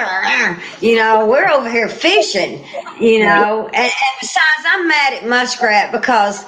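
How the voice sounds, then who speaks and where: A woman's voice: a little high, a bit bright, slight background noise, poor audio. A woman, talking in a casual panel chat as a guest angler, plainspoken, folksy and determined.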